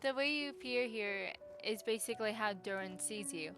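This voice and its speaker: A woman's voice: medium pitch, moderate pace. A young adult woman chatting casually and reacting to a game on a livestream. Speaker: game streamer. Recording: game music faintly behind.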